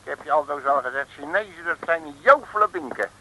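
A brash, boastful bumbling detective. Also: male voice, on the high side, lively intonation, a little fast, sharp tone, heavily acted, low-quality recording. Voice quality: brash, chatty, slangy. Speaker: detective Piet Loeris. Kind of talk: 1950s Dutch radio comedy acting.

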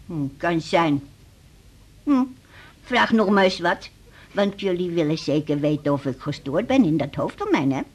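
An actress playing a stubborn, forgetful woman in her eighties in a radio drama, with a husky voice and a blunt, rambling manner. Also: lowish voice, animated, a little slow. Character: stubborn, forgetful 83-year-old mother, cheeky and rambling. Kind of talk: 1980s Dutch radio drama acting.

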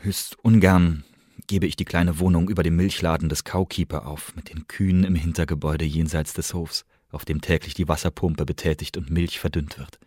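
A man's voice: deep voice, moderate pace, no echo, clean studio sound. An actor playing a wistful young male prisoner in a radio drama, reading his letter aloud in a savouring, literary way. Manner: savouring, literary, builds into excited lists. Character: Christopher, wistful, eloquent young male prisoner writing home.

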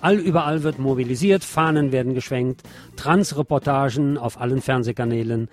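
Mann liest Nachrichten, Musik im Hintergrund. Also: male voice, mid-range voice, moderate pace, a little mellow. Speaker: radio show host reading local news. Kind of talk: scripted news with personal commentary. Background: music with guitar.

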